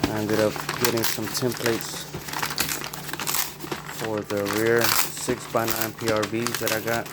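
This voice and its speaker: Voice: mid-range voice, flat delivery, slow pace, heavy background noise. A person narrating an unboxing in a casual, laid-back, informal way. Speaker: unboxing video host. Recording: loud music behind the voice.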